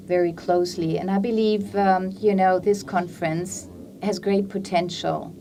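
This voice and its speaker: A woman's voice: mid-range voice, a little mellow, a little hiss or hum. Hesitant woman on a distant mic. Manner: hesitant, loaded with fillers. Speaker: audience member at a panel discussion. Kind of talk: conference Q&A comment. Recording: distant mic, faint hall ambience.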